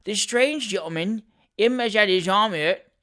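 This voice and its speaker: A woman's voice: medium pitch, measured pace, fairly bright tone, close to an everyday voice. A woman voicing a fat servant boy in a storybook, solemn and sly, with emphatic, ungrammatical rustic speech. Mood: solemn, ominous. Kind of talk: storybook character reading.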